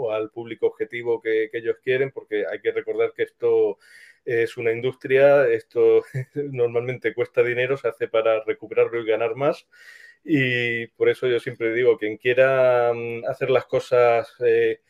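A man giving casual, thoughtful and hesitant interview answers as a screenwriter. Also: mid-range voice. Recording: dull, lo-fi sound.

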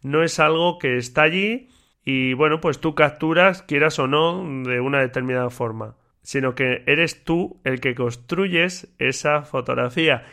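A man hosting a solo podcast in a relaxed, chatty way with many fillers, his voice slightly stuffy and congested from a cold. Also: fairly high pitch, unhurried. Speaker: podcast host. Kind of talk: casual solo podcast talk.

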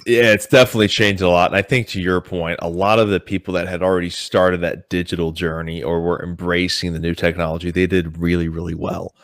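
A man's voice: sits low, little pitch movement, fairly quick, few pauses, no echo, studio quality. A man hosting an interview show, upbeat and affirming toward his guest. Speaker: podcast host. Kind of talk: interview hosting with scripted intro.

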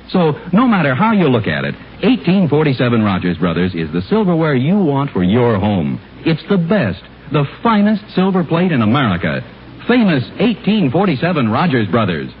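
A man working as a radio announcer and sponsor pitchman, with a folksy, polished 1940s advertising delivery. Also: phone-quality sound. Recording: thin, noisy old radio recording.

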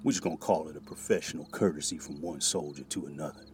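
A very low-voiced, righteous frontier cavalry sergeant, acted by a man in a Western radio play. He speaks gravely and wearily, with scripture in his talk and faint music underneath. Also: fast, a little mellow. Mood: stern. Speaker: actor in an audio drama. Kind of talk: Western audio drama acting.

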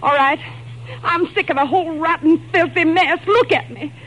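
A woman's voice: very high voice, moderate pace, a bit bright. An actress playing a nervous but defiant young woman mixed up in crime, in a 1950s radio drama. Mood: defiant, fed up. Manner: anxious, defensive, slangy. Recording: muffled old-time radio recording.

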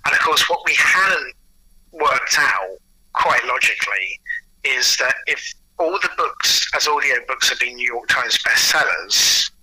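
A man being interviewed, chatting casually through anecdotes in a halting way, often repeating words as he starts a sentence. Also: medium pitch, expressive, sharp tone, slightly rough, no echo, poor audio. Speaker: interview guest, audio producer and writer.

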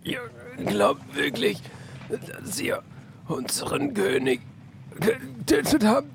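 A dying soldier in an audio drama, high and creaky, forcing out defiant words with great effort. He speaks slowly in broken, gurgling phrases over a noisy background. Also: very animated, heavily acted. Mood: gloating, strained. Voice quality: strained, gurgling. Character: dying crushed enemy soldier, gloating and defiant. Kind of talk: amateur audio drama acting.

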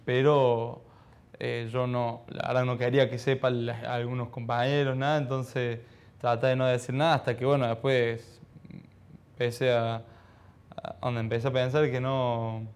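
A man of about twenty with an Argentine accent, giving modest, halting answers as a footballer in a casual interview. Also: mid-range voice, level tone, smooth. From Argentina, childhood in Ecuador. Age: around 20. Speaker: professional footballer being interviewed.

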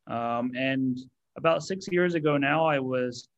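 An American man in his thirties, a startup CEO answering interview questions in a relaxed way with many fillers. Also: medium pitch, unhurried, lo-fi sound. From United States. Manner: relaxed, many ums and you-knows.

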